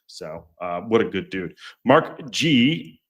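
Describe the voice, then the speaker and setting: A man hosting a podcast, chatting casually and jokingly with live viewers in a deep, strong voice, often stumbling and restarting his sentences. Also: big pitch swings, slow, slightly rough. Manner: rambling, self-interrupting, stumbles over words; chatty and jokey. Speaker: podcast host. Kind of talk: casual live-stream chat reading viewer comments.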